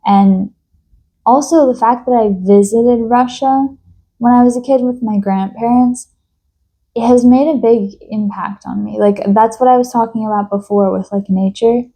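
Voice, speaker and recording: A young woman from New York talks in a slow, reflective personal monologue, thoughtful and hesitant, with many fillers and restarts. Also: fairly high pitch, fairly even intonation, mellow. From United States. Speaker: vlogger.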